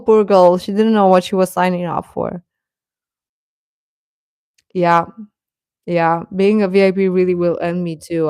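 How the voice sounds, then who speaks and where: A woman chatting casually as host of a live stream, rambling and halting, with a sarcastic streak. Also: mid-range voice, darkish tone, dry recording, studio quality. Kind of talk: casual live-stream chat about pop fandom.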